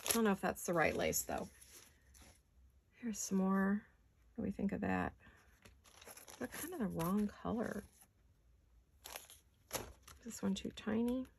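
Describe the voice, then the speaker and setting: A woman with a raspy voice from allergies, chatting casually to viewers as she crafts in her home studio, often talking to herself and slipping into whispers. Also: mid-range voice, very animated, a little slow, long pauses, darkish tone, slight background noise. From California. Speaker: craft vlogger. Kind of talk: casual craft chat while working.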